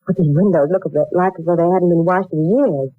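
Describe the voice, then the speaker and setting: A woman's voice: on the low side, brisk, lightly acted. Actress as an earnest young office girl, thin radio sound. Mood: puzzled, earnest. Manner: deferential, hesitant. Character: earnest, polite young female office job seeker. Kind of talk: 1940s radio acting. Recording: tinny, band-limited 1940s radio recording.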